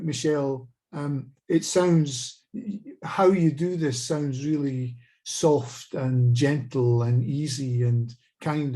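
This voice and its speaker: A middle-aged Scottish man speaking on a video call, measured and thoughtful, with frequent small restarts, asking a question in a webinar discussion. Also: mid-range voice, dark tone. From Scotland.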